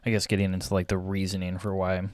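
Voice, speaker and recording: A young man with a low voice talks through his photo editing in a loose, halting ramble, full of fillers and throat-clearing. His voice has gone a little raspy from talking. The sound is close and dry on a desk mic. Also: monotone, darkish tone, no room sound. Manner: hesitant, many fillers and restarts, clears throat often. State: voice getting raspy. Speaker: photographer and vlogger. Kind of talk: casual rambling commentary while photo editing.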